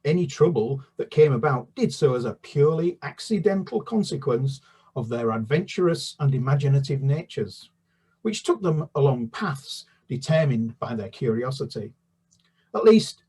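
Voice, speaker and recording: A man's voice: medium pitch, slightly rough. A British children's author talking about his books with many restarts, then reading a chapter aloud. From United Kingdom. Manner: halting, many restarts and fillers, then steady narration. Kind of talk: book talk and read-aloud.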